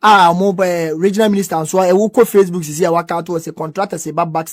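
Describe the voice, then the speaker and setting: Male, Ghanaian accent, rapid and emphatic political talker, dry close sound. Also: sits high, fairly even intonation, dry recording. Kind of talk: animated political discussion about roads.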